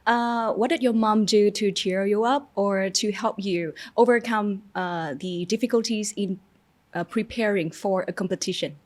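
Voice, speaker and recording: A woman's voice: mid-range voice, moderate pace. Encouraging Vietnamese woman interviewer. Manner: encouraging, hesitant with fillers. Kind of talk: interview questions.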